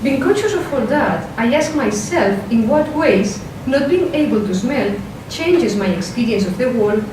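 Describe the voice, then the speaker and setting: A woman philosopher with a strong Spanish accent giving a workshop talk, careful and measured, with hesitant fillers when she speaks off the script. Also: fairly high pitch, expressive. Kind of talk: academic lecture, partly read from a script. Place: lecture room. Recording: echoey lecture hall, mic from a distance, noisy.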